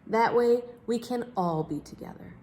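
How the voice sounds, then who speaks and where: A woman voicing a polite, easily impressed king in a children's storybook, earnest and expressive. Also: a little high, moderate pace, pitched above the performer's own voice, natural voice.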